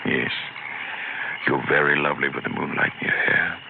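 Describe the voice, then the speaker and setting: A deep-voiced, teasing astronomy professor, mock-pompous and fond of his wife. He lectures playfully in a 1970s radio play. The sound is tinny and phone-like, with steady hiss behind it. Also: moderate pace, gravelly. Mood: teasing, romantic. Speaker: radio drama actor. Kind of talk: 1970s radio drama acting.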